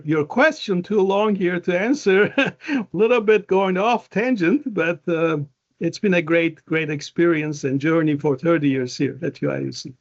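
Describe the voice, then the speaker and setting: A man's voice: a little high, muffled recording. A man in his fifties with a Turkish accent, a professor chatting as an interview guest, rambling with many fillers and restarts. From Turkey. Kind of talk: casual interview conversation.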